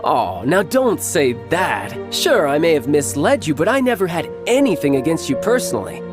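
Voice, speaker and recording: Cocky, charming young game warrior: breezy, theatrical, very animated intonation, music underneath. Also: male voice, fairly high pitch, normal speed. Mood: breezy teasing. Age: young adult. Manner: breezy, smooth-talking, theatrical. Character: cocky, playful young warrior and doting big brother. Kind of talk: video game character acting.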